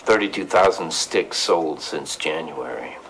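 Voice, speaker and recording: A man's voice: very deep voice, animated, a little slow, fairly bright tone, soft background music, full character voice. An actor playing a defiant middle-aged father under suspicion of murder in an audio drama, curt and guarded. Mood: defiant, dismissive.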